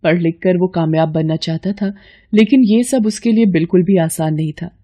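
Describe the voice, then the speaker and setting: Woman storyteller with a mellow, dark voice. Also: on the low side, moderate pace. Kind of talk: scripted story narration.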